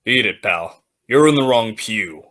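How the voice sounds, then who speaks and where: Sharp, surly radio hoodlum played by a man. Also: on the low side, lively intonation, a little slow. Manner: curt, dismissive, slangy. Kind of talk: 1940s radio acting.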